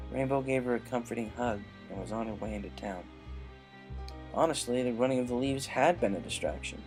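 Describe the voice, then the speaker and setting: A man's voice: mid-range voice, darkish tone, poor audio. A man reading a dramatic fan-fiction story aloud as its scripted narrator.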